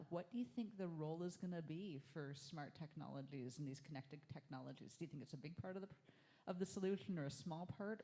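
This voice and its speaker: A panel moderator at a university event, briskly putting long questions with several parts to the panelists. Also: medium pitch, warm tone. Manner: brisk, posing long multi-part questions.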